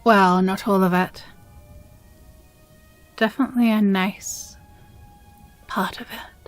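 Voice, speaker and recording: A woman playing a possessive, jealous demon girlfriend in an intimate audio roleplay, breathy and often whispering, with condescending sweetness. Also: medium pitch, fairly even intonation, faint music behind, lightly acted. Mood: resolute, yearning. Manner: slow, deliberate, sighing, condescendingly sweet.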